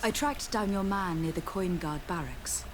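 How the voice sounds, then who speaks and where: A composed young noblewoman , voiced by a woman for a game, speaks in a low register with formal, measured diction. She is diplomatic but firm, and there is clear noise behind her. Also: lightly acted. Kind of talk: video game dialogue.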